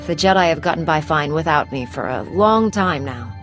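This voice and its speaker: An actress voicing a confident, guarded commander in a video game, direct and self-assured. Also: female voice, sits low, lively intonation, normal speed, over music. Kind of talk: video game cutscene acting.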